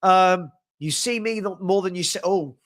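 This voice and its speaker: A young man hosting a live stream, his voice pitched fairly high for a man. He chats in an upbeat way, rattling off shout-outs to viewers while fighting a sniffly head cold. He is close to a desk mic. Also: moderate pace. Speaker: host of a live fan chat show. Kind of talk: casual live-stream chat reading out viewers' comments.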